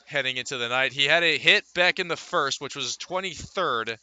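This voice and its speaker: A man calling live baseball play-by-play for radio from a ballpark, in measured phrases with pauses between plays. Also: medium pitch, bright, forceful tone. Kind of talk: live radio sports commentary.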